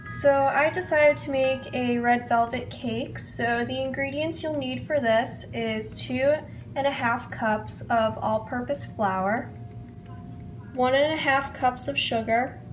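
Casting: young female baking vlogger with a cold, high voice, casual recipe narration, music underneath. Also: slow pace. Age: young adult. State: sick with a cold. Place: home kitchen, indoors.

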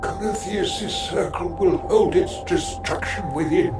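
An actor playing an older captive scholar mage, broken and rambling, speaking haltingly and poetically in a theatrical video game style. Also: male voice, sits high. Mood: resigned. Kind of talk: dramatic video game acting. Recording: echoing voice over loud music.

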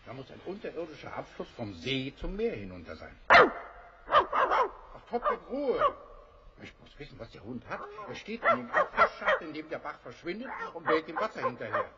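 An actor playing a decisive, spirited engineer who leads castaways in a German audio drama, with a lively, exclamatory, practical delivery. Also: male voice, a little high, moderate pace, husky, dull audio, exaggerated voice. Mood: busy, scolding.

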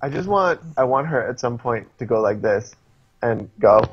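A young man guesting on a video-call podcast, talking eagerly in quick bursts and laughing, recorded through a webcam in a small room. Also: mid-range voice, slightly rough, highs cut off. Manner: animated, enthusiastic, fast bursts, laughing. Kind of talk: casual chat reviewing a TV episode.

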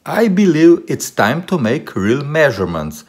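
Animated man vlogger, lively pitch swings. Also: unhurried. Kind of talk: presenting to camera and narrating a car tech video.

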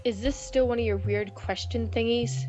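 A playful, excitable woman co-hosting a let's play, reading game dialogue aloud and bantering casually. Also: a little high, normal speed. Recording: clear music behind the voice.

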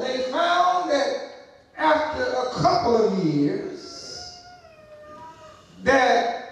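High-voiced preacher man in an echoey church. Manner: preaching with rising, shouted emphasis and call-outs to the congregation. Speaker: pastor. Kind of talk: sermon. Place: church sanctuary, large, indoors. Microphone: pulpit microphone, far camera. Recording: very echoey church hall from a distance.

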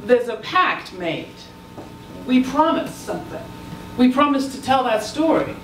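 A woman journalist speaking on stage into a handheld mic, earnest and reflective, a little halting. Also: high voice, big pitch swings, slight background noise. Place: large theater stage. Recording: echoey hall, distant mic, soft music.

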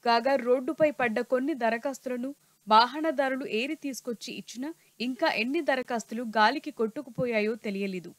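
A woman narrating a news report in a steady, formal reporting voice. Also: high voice, a little fast, dry recording.

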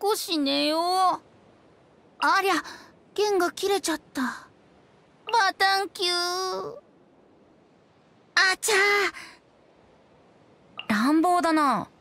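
A breezy, mischievous boy bard from an anime game. The voice is very high and bright, with a light singsong lilt. Words come unhurriedly, in a teasing, youthful tone. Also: lively intonation, slow, pauses often, full character voice. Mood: weakened, pouting. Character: playful boyish young male wind bard. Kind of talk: Japanese anime game voice acting.

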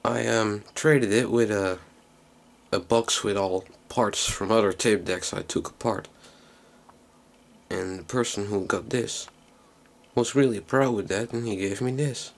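A man giving a casual, unscripted hands-on demonstration in a low, creaky voice, recorded on a handheld camera microphone. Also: measured pace. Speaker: hobbyist video maker. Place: small indoor workroom.

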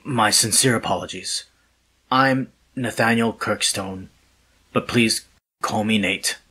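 An actor playing an anxious, polite man desperate for help in an audio drama, with a husky voice and a hesitant, earnest manner. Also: on the low side, slow, bright, forceful tone, full character voice. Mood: nervous.

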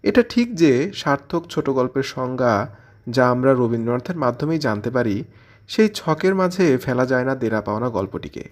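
A man's voice: mid-range voice, lively intonation, normal speed. A man presenting a scripted literary commentary in an explanatory way, posing questions and answering them as he goes. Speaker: presenter of a literary audio channel.

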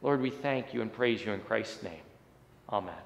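A man's voice: lowish voice, normal speed, creaky. A man in his forties, a pastor, preaching and praying in an earnest way with rising and falling emphasis. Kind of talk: sermon and prayer. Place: church sanctuary, indoors. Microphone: fixed camera at chest height. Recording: long church hall reverb.

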